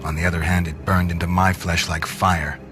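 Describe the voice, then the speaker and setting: An actor playing a grim half-vampire antihero who narrates a video game in a terse, deadpan, noir-like way. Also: male voice, deep voice, normal speed, music in the background, close to an everyday voice. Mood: grim, recounting.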